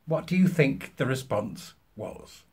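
A British man in his fifties telling an anecdote to camera, emphatically acting out both sides of a conversation in a husky voice. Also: medium pitch, lively intonation, measured pace, a little mellow. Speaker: vlogger. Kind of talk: animated anecdote told to camera. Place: small furnished room at home, indoors.

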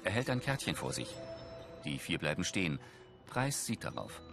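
A man's voice: low voice, big pitch swings, normal speed, creaky, music in the background. A man reading audio description for a TV series in a neutral, matter-of-fact way. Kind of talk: scripted descriptive narration of a TV series.

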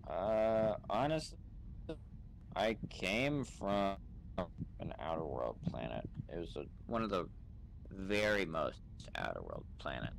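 A man's voice: sits low, very animated, slow pace, vocal fry. A man improvising in character over game voice chat, speaking in a laconic, hesitant, dry way. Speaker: role-play gamer playing a newcomer. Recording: noisy gaming voice chat.

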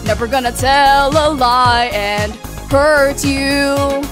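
Woman singing karaoke over loud music. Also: high-pitched, slow. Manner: singing along loosely, fumbling some words. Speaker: streamer.

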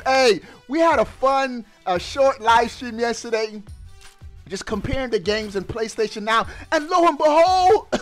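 Male gaming YouTuber, high voice, slow emphatic delivery, slangy and excited. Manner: emphatic, animated delivery with drawn-out words, slangy. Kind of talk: excited gaming news commentary. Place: indoors at a streaming setup. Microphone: headset mic.

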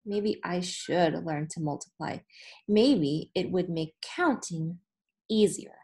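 A woman in her thirties, a teacher reading a storybook aloud to children with deliberate phrasing and expressive emphasis. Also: lowish voice. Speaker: elementary school teacher. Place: small indoor room with whiteboard.

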